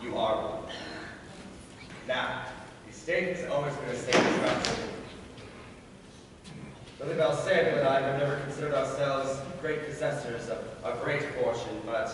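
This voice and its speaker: A man's voice: medium pitch, a little slow, long pauses, natural voice. A man playing a pompous, flustered, exasperated son from a wealthy family in a theatrical stage comedy. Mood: pompous, placating. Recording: echoey hall from far off, faint noise.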